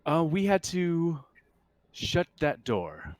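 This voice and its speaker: A man voicing a polite, earnest android crewman in a tabletop sci-fi game, speaking in a measured, halting, matter-of-fact way. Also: on the high side, wildly swooping pitch, no echo, voice pushed up, exaggerated voice. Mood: earnest, grave.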